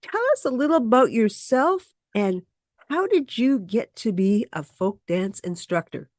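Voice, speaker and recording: A woman's voice: on the high side, lively intonation, a little slow, a little mellow, no echo. Woman interview host, welcoming and upbeat; close, dry recording. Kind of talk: casual interview.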